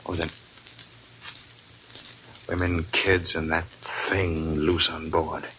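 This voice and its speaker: A weary ship's captain. His very deep voice is grave and heavy with subdued dread. It has the sound of a 1940s radio suspense drama. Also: level tone, takes it slowly, fairly bright tone, a little hiss or hum. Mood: grim dread. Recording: muffled old radio recording.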